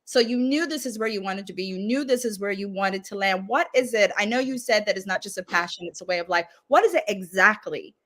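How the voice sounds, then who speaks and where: A woman from Barbados hosting a virtual interview on a video call, affirming her guest warmly and asking about her work. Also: mid-range voice, fairly quick, few pauses. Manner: warm encouraging backchannels and enthusiastic praise. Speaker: interviewer, host of a speaker series. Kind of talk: conversational interview. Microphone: video call mic.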